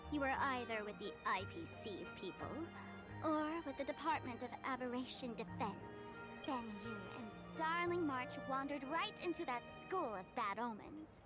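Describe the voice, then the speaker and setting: Wry high-voiced trickster girl. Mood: serious, concerned. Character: wry, teasing young woman, secretive streamer and trickster. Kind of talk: video game voice acting. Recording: clear music bed behind voice. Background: music.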